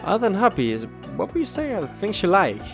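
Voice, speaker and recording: Woman playing a lively, low-voiced school interviewer. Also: brisk, music in the background. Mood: kindly, encouraging. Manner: formal but reassuring, expressive. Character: polite, encouraging school admissions interviewer. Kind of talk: audio drama acting.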